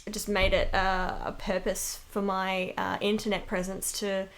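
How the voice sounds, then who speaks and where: A woman's voice: medium pitch, little pitch movement, normal speed. A woman in a casual interview, talking as an amateur voice actress in a rambling, self-deprecating way.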